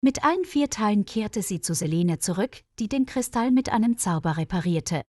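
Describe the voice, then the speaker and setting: Female storyteller for scripted fairy-tale narration, mid-range pitch, very dry recording. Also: expressive, normal speed, darkish tone, no echo.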